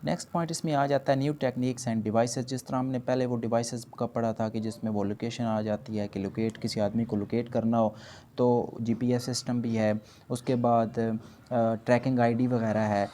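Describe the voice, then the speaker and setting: A man's voice: mid-range voice, flat delivery, normal speed, mellow. A man with a Pakistani accent giving an explanatory law lecture, reading points aloud carefully and explaining them conversationally. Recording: noticeable background noise.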